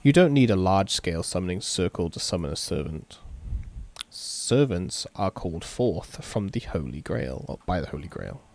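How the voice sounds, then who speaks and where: A man's voice: low voice, big pitch swings, normal speed, creaky, darkish tone. A tired man with a sore throat, giving casual, laid-back let's-play commentary and reading a visual novel aloud over game music. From England. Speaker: gaming video host.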